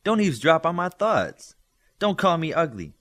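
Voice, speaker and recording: Man voicing a bossy, high-pitched teasing girl. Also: very animated, moderate pace, fairly bright tone. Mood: indignant. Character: witty, bossy, teasing young girl student. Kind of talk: audiobook character acting.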